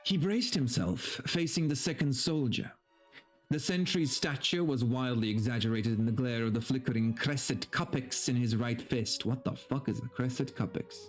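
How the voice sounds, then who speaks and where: A man giving a dramatic, deliberate story reading, dropping into casual wry asides. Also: medium pitch, soft background music. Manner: theatrical, deliberate, breaks off into casual wry remarks. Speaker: streamer reading aloud.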